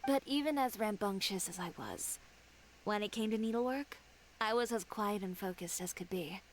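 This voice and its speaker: A woman's voice: medium pitch, lively intonation, normal speed, slight background noise, close to an everyday voice, rough recording. An actress playing an assertive, poised young female fashion designer in a video game, telling things in a self-assured way. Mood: reminiscing.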